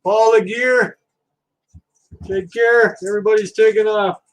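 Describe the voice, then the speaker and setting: High-voiced man chatting on a livestream. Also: steady tone, unhurried, poor audio. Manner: easygoing, chatty, talks to viewers while working. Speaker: livestream host. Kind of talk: casual livestream chat while scrapping.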